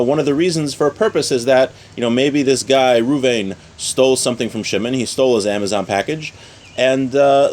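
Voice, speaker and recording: A man's voice: mid-range voice, darkish tone. A man giving an informal religious lesson outdoors, explaining conversationally and often rephrasing his points. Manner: conversational, repeats and rephrases, checks in with "right?". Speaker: religious class teacher. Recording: outdoor recording with faint ambient noise.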